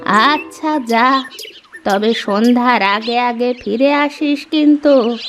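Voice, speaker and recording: An elderly village grandmother from a cartoon tale, acted by a woman. She is fond but easily miffed and scolds gently. She speaks slowly over faint music. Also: a little high. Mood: affectionate. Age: older. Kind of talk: cartoon story acting.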